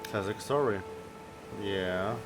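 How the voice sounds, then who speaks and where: A man's voice: lowish voice, slow. A man making short, casual reactions while playing a video game. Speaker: game player. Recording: game music behind the voice.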